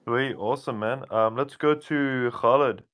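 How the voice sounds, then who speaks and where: A man's voice: medium pitch, unhurried, a bit bright. A man hosting a live audio chat who calls on speakers in brief, upbeat lines. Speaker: host moderating callers.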